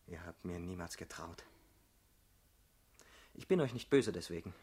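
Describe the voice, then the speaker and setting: An actor playing a bitter, talkative young ex-convict party man in a German radio drama, husky and ironic. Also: deep voice, expressive, measured pace, a little mellow, full character voice. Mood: wry, resigned.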